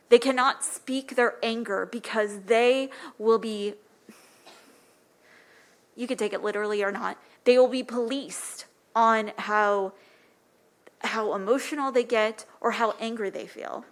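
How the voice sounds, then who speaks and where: A soft-spoken woman with a light voice gives an earnest, impassioned public comment at a city council podium microphone. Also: a little high.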